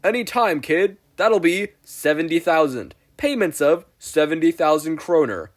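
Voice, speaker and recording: A man voicing a tiny, puppet-like salesman who is manic, loud and pushy, hawking like a pitchman in a story reading. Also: animated, unhurried. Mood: triumphant.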